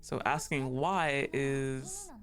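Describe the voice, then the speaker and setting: A woman voicing a soft-spoken young female game character who is sweetly controlling and talks in a calm, hushed, coaxing way. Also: rumbling low, very slow, faint music behind, heavily acted.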